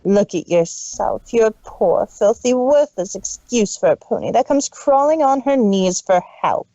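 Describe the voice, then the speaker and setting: A man's voice: high voice, animated, a little slow, dark tone, dry recording, lo-fi sound, pitched above the performer's own voice. A man voicing a cold, cruel, haughty older-sister pony mare in a story reading, contemptuous and scolding in manner. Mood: harsh, scornful.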